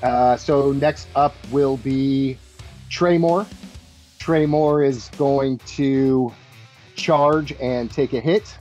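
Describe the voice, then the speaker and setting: A man's voice: medium pitch, steady tone, very slow, a little mellow, faint music behind. A man acting as game master, narrating tabletop combat turn by turn.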